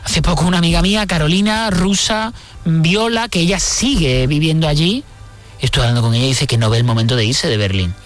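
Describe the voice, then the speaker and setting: A woman's voice: low-pitched, a little fast, soft background music. A woman, talking candidly and reflectively as an interviewed guest, repeating words for emphasis. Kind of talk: conversational radio interview.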